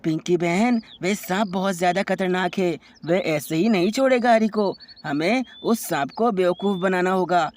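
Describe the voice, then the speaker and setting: A woman voicing a clever, helpful male insect in a children's animated story. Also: fairly low pitch, normal speed, few pauses, close to an everyday voice. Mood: confident.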